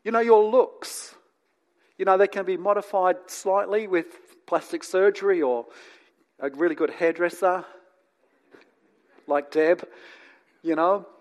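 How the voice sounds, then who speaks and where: A man from England who grew up in New Zealand and lives in Australia, preaching in a conversational, teacherly way with asides to his listeners and deliberate pauses. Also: high voice. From born in England, grew up in New Zealand, lives in Australia. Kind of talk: conversational sermon to an audience.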